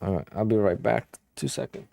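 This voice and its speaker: A man's voice: on the low side, brisk, creaky, dry recording. Polish-accented man chatting on a live stream. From Poland. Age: 30s. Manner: relaxed, talks to chat in short bursts. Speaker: day trading streamer. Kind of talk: casual live stream chat.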